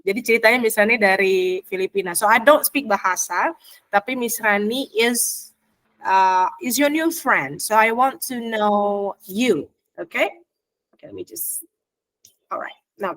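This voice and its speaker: A lively woman with an Indonesian accent runs an online English lesson over a video call. Her voice sits a bit high, and she coaches her students through role-play, switching freely between Indonesian and English. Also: moderate pace. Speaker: English teacher.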